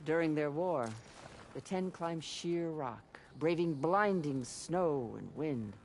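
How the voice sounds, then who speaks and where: An earnest guide in a video game, telling legends with dramatic weight. His pitch moves widely, with faint ambience behind him. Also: expressive, slow, slight background noise. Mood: earnest, reverent. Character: earnest guide recounting clan legends of ancient soldiers.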